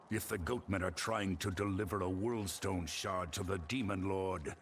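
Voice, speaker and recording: An actor playing a grave adventurer in a fantasy video game, with gravelly, solemn, deliberate delivery. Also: male voice, low voice, a bit bright, slight background noise, exaggerated voice. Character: grave adult male adventurer hunting demon cultists. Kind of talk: dramatic scripted video game dialogue. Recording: game music behind the voice.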